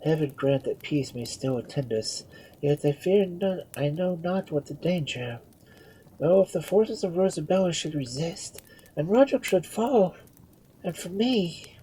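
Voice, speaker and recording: An anxious, devout storybook queen, acted out by a man murmurs her fears melodramatically. Her voice sits high and has a very husky, breathy edge. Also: lively intonation, measured pace, dark tone, heavily acted, rough recording. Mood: anxious longing.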